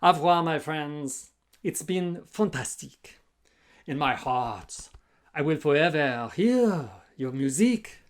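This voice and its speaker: Guy doing a lovesick poet sea captain from a kids book, slow, drawn out, romantic. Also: a little high, animated. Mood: tender farewell. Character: lovelorn poetic ship's captain, sentimental and courtly.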